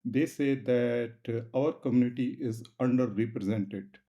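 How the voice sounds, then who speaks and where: A man's voice: mid-range voice, a little slow, dark tone. A man hosting an interview, putting his questions in careful, hesitant phrases on a dull, muffled recording. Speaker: interviewer, host.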